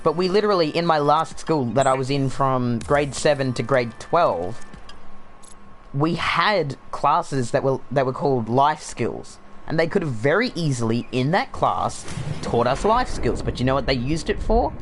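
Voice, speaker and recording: A man's voice: medium pitch, animated, moderate pace, soft background music. Rambling young Australian man. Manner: rambling, sardonic, frequent fillers. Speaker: gaming streamer. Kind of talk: casual chat while livestreaming a game.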